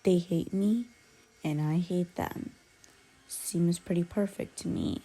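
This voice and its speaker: A woman acts out a young female werewolf in an intimate ASMR roleplay. Her voice is mellow, lowish and breathy, often sinking toward a whisper. She speaks slowly and haltingly, blunt and guarded but grudgingly caring. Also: heavily acted, low-quality recording. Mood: dismissive. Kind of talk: ASMR roleplay, intimate one-sided character dialogue.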